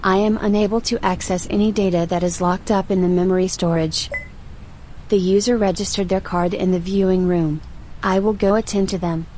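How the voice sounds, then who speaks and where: Woman doing polite robotic ai archivist, composed and formal, mid pitch, audio roleplay, slight background noise. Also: steady tone, moderate pace, darkish tone, lightly acted. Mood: composed, probing. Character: female-voiced archive AI, polite, composed, coolly watchful.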